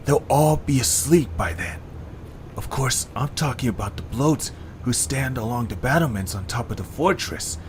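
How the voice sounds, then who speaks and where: A man's voice: fairly low pitch, a little hiss or hum. An eager young male creature from a cartoon story, acted by a man. He talks with urgency, like a conspirator passing on a secret plan to friends.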